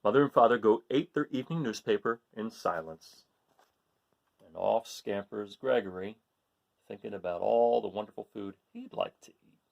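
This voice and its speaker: A man reading a picture book aloud to children in an animated way, asking his listeners questions. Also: on the low side, normal speed, gravelly, lo-fi sound. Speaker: read-aloud storyteller.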